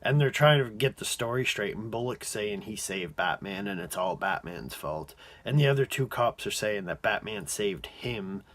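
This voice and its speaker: A man giving a casual, off-the-cuff review as an amateur reviewer, rambling and halting, often padding with "like" and letting sentences trail off. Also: fairly low pitch, expressive, fairly bright tone.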